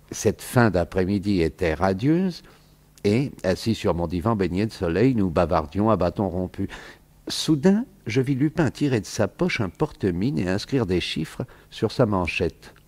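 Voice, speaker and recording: Friendly narrator in old radio drama, kinda low voice, measured, reminiscing. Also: male voice, a little mellow. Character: storyteller friend of a gentleman burglar, genial, reminiscing.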